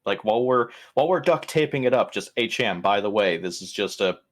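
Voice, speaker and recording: A man's voice: on the low side, expressive, fairly quick. A man playing a tabletop roleplaying game, bantering casually with joking profanity.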